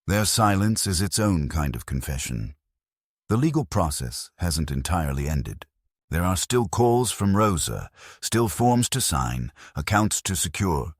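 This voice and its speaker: Very deep, husky older male narrator. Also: dry recording, clean studio sound. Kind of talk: first-person scripted story narration.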